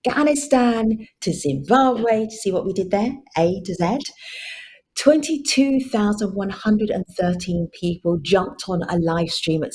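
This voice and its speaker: A woman's voice: on the low side, a little mellow, a little hiss or hum. A woman hosting a virtual conference, addressing the audience directly and repeating words for emphasis. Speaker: event host, moderator. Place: virtual event link.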